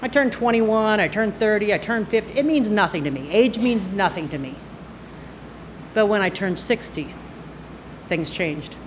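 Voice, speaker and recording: A woman in her sixties telling a personal story on stage into a microphone, conversational and halting, with fillers and bursts of laughter. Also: mid-range voice, animated, slight background noise, rough recording. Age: 60s. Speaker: storyteller at a live storytelling night. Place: stage at a live event.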